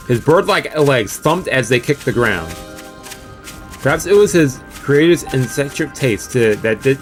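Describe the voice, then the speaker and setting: A man live-streaming a read-aloud of a visual novel, stumbling over words now and then and dropping into casual asides. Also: mid-range voice. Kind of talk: live let's-read narration with casual reactions. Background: game music.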